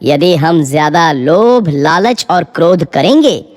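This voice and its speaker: A woman's voice: low-pitched, animated, slow, a little mellow. A woman narrating a scripted moral story for children as a storyteller. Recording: music behind the voice.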